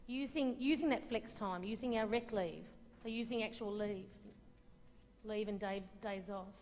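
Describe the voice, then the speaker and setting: A woman's voice: mid-range voice, unhurried, darkish tone. An Australian woman facilitating a group workshop, praising each answer and moving the discussion on. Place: large conference hall. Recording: reverberant hall recording.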